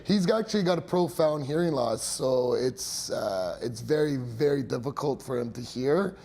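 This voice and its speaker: A man's voice: medium pitch, animated, normal speed, creaky, a little mellow. A young man who works as a hearing care professional, explaining his clinic in a chatty way with many fillers and little laughs. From Italian heritage. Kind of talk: interview answers, explanatory.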